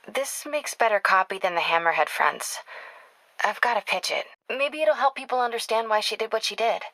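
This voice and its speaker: A driven young woman reporter from a video game. Her voice is bright and crisp-edged, recorded very dry. She talks in a natural, confiding way, caring and a little wry, as if on the phone to someone close. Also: on the high side, lively intonation, moderate pace, no echo, low-quality recording. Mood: earnest. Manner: conversational, earnest, confiding.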